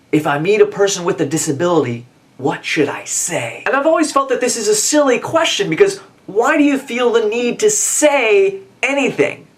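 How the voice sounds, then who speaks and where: Young man, American accent, slightly high voice, comic storytelling, animated and emphatic, vlogger. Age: 20s. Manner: animated, emphatic delivery with dramatic mimicry. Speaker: video blogger and motivational speaker. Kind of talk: comic scripted storytelling to camera.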